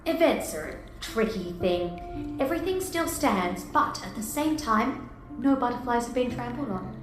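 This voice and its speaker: An actress playing a calm, enigmatic celestial being in an audio drama, speaking in a measured, cryptic, assured way. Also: female voice, fairly high pitch. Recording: echoey, with music underneath. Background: music.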